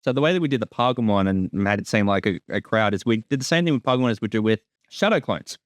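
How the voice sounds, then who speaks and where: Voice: mid-range voice, expressive, fast, a little hiss or hum. A person giving a casual creator's commentary on an episode, chatting informally. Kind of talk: casual director's commentary chat.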